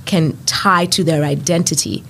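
A woman's voice: low-pitched, fairly even intonation, moderate pace, fairly bright tone, slight background noise. A woman from Kenya, telling a family story with wry humor in a casual panel chat. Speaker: guest, panelist.

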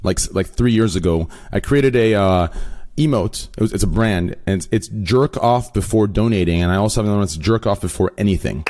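A male streamer with a deep voice, sick, chatting casually on a podcast with stammering restarts. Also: a little fast, darkish tone. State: sick, voice cracking.

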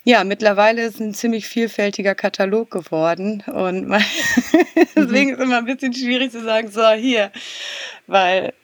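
A woman's voice: on the high side, normal speed, no room sound. A woman in her thirties, a guest on a podcast, speaking over a video call and answering loosely with many "ähm" and easy laughs. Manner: relaxed, rambling, frequent "ähm", laughs easily. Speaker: guest, garden designer. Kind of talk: interview answers.

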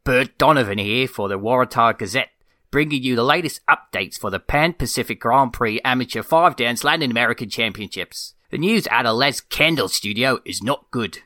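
A man's voice: mid-range voice, moderate pace, pushed and bright, no echo, studio quality, lightly acted. A man putting on a folksy, slang-heavy dance-news reporter with a mock-serious newsreader delivery.

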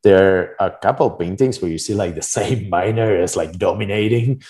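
Low, mellow-voiced man from Argentina. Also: normal speed. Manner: informal, tentative phrasing with frequent "like" and "right?". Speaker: interview guest, project creator. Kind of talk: casual conversational interview.

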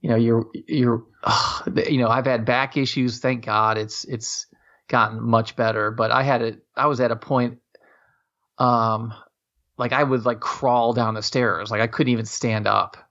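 A man in his fifties chatting casually on a podcast, rambling and halting with lots of fillers. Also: medium pitch, level tone, a bit bright. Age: 50s. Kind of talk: casual chat. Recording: dull lo-fi sound.

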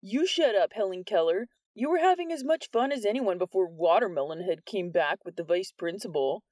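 A woman's voice: mid-range voice, lively intonation, moderate pace, darkish tone, no room sound, studio quality, close to an everyday voice. A woman voicing a cheeky, smart-mouthed teenage boy with a mocking way of talking.

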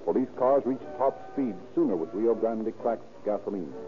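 A man's voice: fairly low pitch, expressive, moderate pace, music in the background. Tinny 1930s radio announcer, male. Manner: formal, emphatic announcing. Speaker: announcer and narrator. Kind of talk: 1930s radio scripted narration and ad reading.

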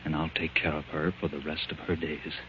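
A man's voice: a bass voice, level tone, brisk, a little hiss or hum. An actor playing an anxious, protective man with a guilty secret in 1940s radio drama style. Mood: confessing. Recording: muffled, humming old radio recording.

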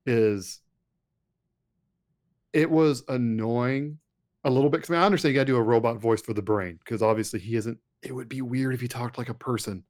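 A man co-hosting a casual, conversational review of an animated series. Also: mid-range voice, brisk. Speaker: podcast co-host.

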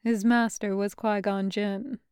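A woman's voice: medium pitch, little pitch movement, measured pace, a little mellow, clean tone, dry recording, clean studio sound, close to an everyday voice. A woman voicing a matter-of-fact male Jedi ghost, plain and informative, in a sci-fi audiobook.

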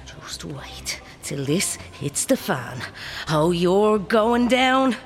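A woman's voice: fairly low pitch, sing-song, very slow, faint music behind, exaggerated voice. An actress playing a vengeful woman who gloats and mutters to herself in an audio drama. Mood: gloating, vengeful.

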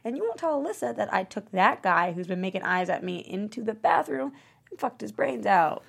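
Woman acting brazen, husky, creaky temptress. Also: medium pitch, sing-song, fairly quick, full character voice. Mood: mocking. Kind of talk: scripted table read acting.

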